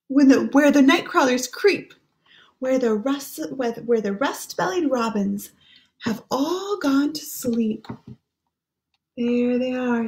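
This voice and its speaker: Female, 30s, children's read-aloud: gentle, very unhurried delivery, voice a touch high. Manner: gentle, explaining pictures between read lines. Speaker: pastor reading a picture book. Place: small room at home.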